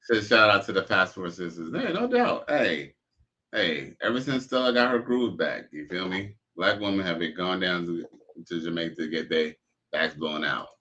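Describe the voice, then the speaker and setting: Host type: lower-voiced, bright and edgy man, crude and joking, muffled lo-fi stream audio. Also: animated, moderate pace. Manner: crude, joking, loose slang. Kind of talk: casual reaction commentary.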